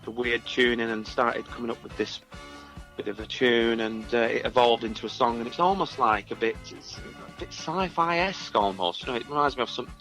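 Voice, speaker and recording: Male musician interviewee, bright forward voice, mid pitch, hesitant and modest delivery, faint music behind. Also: fairly quick, poor audio. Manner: hesitant, self-effacing. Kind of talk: casual interview chat.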